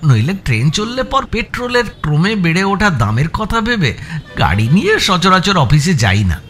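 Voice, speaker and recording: A man with a West Bengal Bengali accent narrates a first-person suspense audiobook in an expressive, measured storytelling manner with deliberate pauses. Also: sits high. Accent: Bengali from West Bengal, India. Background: music.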